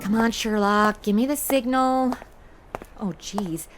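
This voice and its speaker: A woman's voice: fairly high pitch, takes it slowly, loud music behind the voice. A woman playing a brash, impulsive modern American sidekick in a comedy audio drama, slangy, blunt and sarcastic. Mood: rambling, sheepish.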